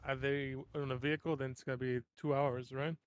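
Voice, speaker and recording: A man's voice: medium pitch, little pitch movement, a little fast, clean tone, no room sound. A man playing a tabletop roleplaying game, casually putting his suggestions to the other players.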